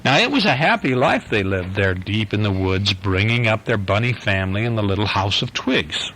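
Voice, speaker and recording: Gentle father reading a bedtime story. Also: fairly low pitch, lively intonation, normal speed, slight background noise, low-quality recording. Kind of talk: reading a picture book aloud at bedtime. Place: home bedroom.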